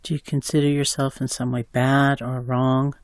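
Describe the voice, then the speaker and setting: A man slowly guiding a compassion meditation, calm, with long pauses between phrases. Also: mid-range voice, no echo, clean studio sound. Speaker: meditation guide. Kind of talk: guided meditation.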